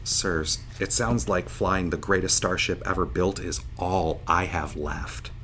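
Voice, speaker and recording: A man's voice: deep voice, steady tone, a little slow, fairly bright tone, slight background noise. A man acting in an audio drama as a jovial, bewildered starship pilot just woken from a long sleep, informal and earnest. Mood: hopeful. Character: jovial, bewildered starship pilot waking after seventeen years asleep.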